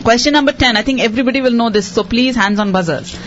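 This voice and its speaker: A woman hosting a quiz show for children, repeating each question slowly and cheering the contestants on. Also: a little high, highs cut off, slight background noise. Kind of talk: hosting a radio quiz for kids.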